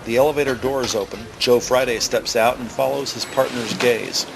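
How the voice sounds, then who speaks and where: Male narrator for crime audio drama: mid-pitched, gravelly, deliberate scene-setting with noise underneath. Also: fairly even intonation. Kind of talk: scripted present-tense scene narration.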